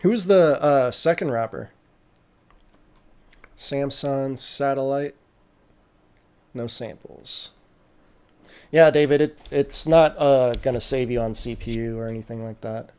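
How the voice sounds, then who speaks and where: A man hosting a music production livestream, chatting casually and reacting to beats, relaxed and full of small fillers. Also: mid-range voice, slow pace, pauses often, clear, resonant tone. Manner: relaxed, many fillers and restarts. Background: submitted beats playing.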